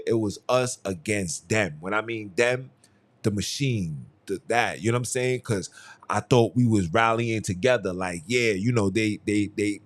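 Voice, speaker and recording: A young man hosting a solo podcast, talking in a heated, emphatic way and often repeating his phrases. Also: lowish voice. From Haiti. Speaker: podcast host. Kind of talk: casual solo commentary.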